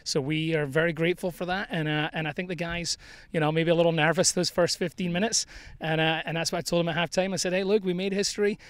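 Fast-talking middle-aged soccer coach, outdoors. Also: male voice, a little high, fairly even intonation, clear, resonant tone, no echo. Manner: quick, candid, conversational with many fillers. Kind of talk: postgame interview. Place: outdoors on a grass soccer field. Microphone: handheld mic held by interviewer.

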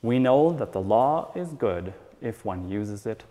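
A middle-aged man reads a scripted sermon in a measured, formal way from a church lectern. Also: lowish voice, animated, warm tone. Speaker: church elder reading a sermon. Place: church hall, at a wooden lectern. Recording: long church hall reverb.

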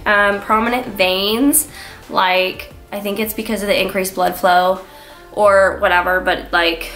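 A woman's voice: mid-range voice, a bit bright. A young woman with a stuffy, congested voice, chatting casually to camera as a vlogger and filling her talk with "like". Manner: chatty, lots of filler "like". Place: small room indoors. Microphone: camera on a tripod, framed from the waist up.

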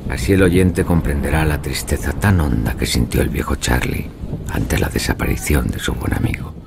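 Very deep, gravelly storyteller. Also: male voice, moderate pace, very noisy. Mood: solemn. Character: solemn storyteller of a 19th-century village mystery. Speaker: narrator. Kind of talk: dramatised scripted narration of a mystery tale.

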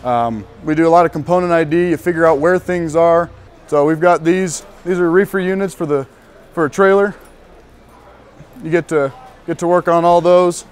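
A young man from northern Colorado, a student casually showing visitors around a large diesel workshop, pausing often with ums and restarts. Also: a little high, clean tone. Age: young adult. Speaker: student giving a shop tour. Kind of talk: walking tour guide talk.

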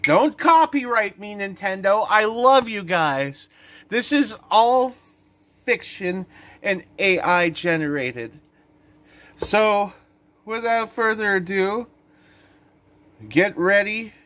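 Young male vlogger, slightly high, bright voice, slangy and playfully dramatic storytelling, close dry phone recording. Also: animated, slow pace, long pauses. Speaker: vlogger and host. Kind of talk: casual vlog storytelling, reading a short story aloud. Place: indoor room. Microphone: phone held at arm's length.

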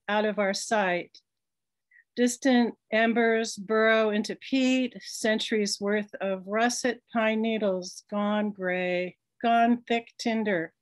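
An older woman poet thanking the hosts, then reading her own poems in a slow, measured way on a video call from home. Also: mid-range voice, dry recording. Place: home room with curtains. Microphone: laptop webcam on video call.

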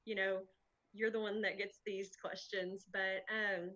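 A chatty woman host with a bright, forceful voice, asking questions in a friendly, easygoing way. The recording sounds somewhat dull and lo-fi. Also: mid-range voice, level tone, measured pace. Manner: chatty, friendly, laughs easily. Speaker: chamber of commerce interviewer. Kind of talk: casual video interview.

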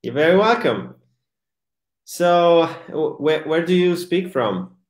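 A man with an Italian accent hosting a live online interview, slow and hesitant with many fillers. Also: a little high, animated. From Italy. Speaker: host. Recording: echoey room.

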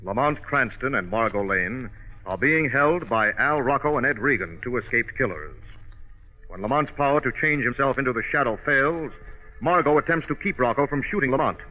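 Old-time radio announcer guy, medium pitch, muffled, music behind. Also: lively intonation, moderate pace. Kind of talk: 1940s scripted radio narration. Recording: dull old radio broadcast recording.

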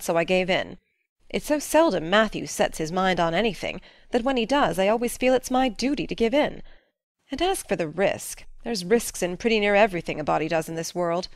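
A woman's voice: medium pitch, no room sound, studio quality, lightly acted. A woman playing a stern, practical middle-aged farm woman in a dramatic audiobook, talking in a plain, folksy, matter-of-fact way. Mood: calm, resigned. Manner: plain-spoken, matter-of-fact, folksy old-fashioned phrasing.

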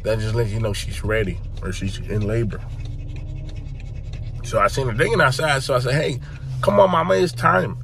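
A man, chatting casually to viewers about his dog while sick and losing his voice, clearing his throat often. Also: mid-range voice, moderate pace, pauses often, fairly bright tone, a little hiss or hum. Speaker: dog breeder vlogger. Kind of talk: casual vlog to camera. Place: parked car. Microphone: phone held at arm's length.

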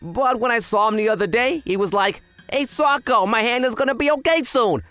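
A man voicing an excitable, chatty young gangster underling who scares easily, in an animated manga dub. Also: very high-pitched, a little fast, no room sound, soft background music. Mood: admiring, chatty. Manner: excitable, exclamatory.

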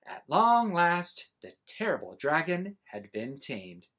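A man in his forties reading a children's storybook aloud, with dramatic, exaggerated emphasis and pauses. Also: on the high side, wildly swooping pitch, fairly bright tone. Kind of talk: animated storybook read-aloud.

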